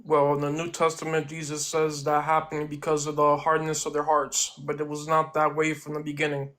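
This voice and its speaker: A man's voice: a little high, monotone, brisk, a bit bright. A man in his twenties calling in to a debate, answering in a plain, earnest way. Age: 20s. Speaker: caller. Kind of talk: casual debate.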